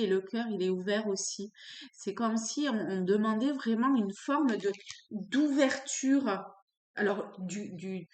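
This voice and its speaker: Slow, halting woman reading tarot cards. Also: mid-range voice, echoey room. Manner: halting, searching for words with many fillers. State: has a cold. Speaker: tarot card reader. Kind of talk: unscripted tarot reading to camera.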